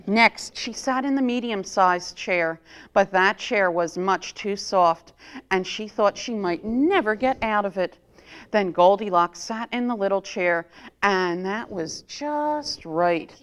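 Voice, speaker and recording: A woman reading a children's story aloud as a storytime reader, in an expressive, deliberate manner. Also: mid-range voice, smooth. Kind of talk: expressive picture-book read-aloud for children.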